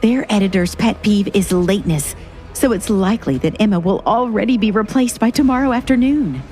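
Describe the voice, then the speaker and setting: A woman's voice: mid-range voice, fairly quick, dark tone, soft background music, lightly acted. An actress playing a domineering, scheming fashion-industry woman who talks in a curt, haughty, commanding way. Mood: cold, scheming.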